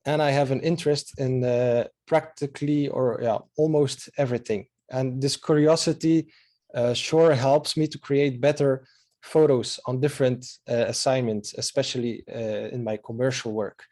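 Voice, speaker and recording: A Belgian man in his thirties, speaking English as a second language after Dutch, with a Belgian Dutch accent. His voice is mellow and dark-toned, and he pauses often with filler sounds in a friendly, earnest manner. He presents over a video call microphone. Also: medium pitch, fairly even intonation. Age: 30s. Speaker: photographer giving a presentation. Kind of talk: online slideshow presentation.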